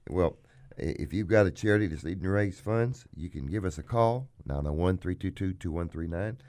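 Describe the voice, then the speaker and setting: Man, auctioneer host, very low hoarse voice, dry studio sound, folksy pitch. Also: fairly quick, darkish tone, dry recording. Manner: folksy, plugs his business. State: hoarse, frog in throat. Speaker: auctioneer and radio show host. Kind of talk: casual radio chat.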